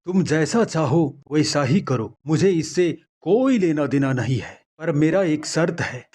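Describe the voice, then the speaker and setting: A man plays an older village farmer, a patient and firm father in a moral story. His voice sits fairly high for a man. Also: expressive, unhurried, a little mellow. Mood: firm, persuasive. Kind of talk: acted moral-story dialogue.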